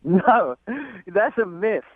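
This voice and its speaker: A man's voice: sits high, expressive, slow, a crowd in the background. A man with an English accent chatting casually as a radio caller, a bit hesitant and repeating himself. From England. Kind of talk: casual phone chat. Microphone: telephone. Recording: thin narrowband phone line, dry.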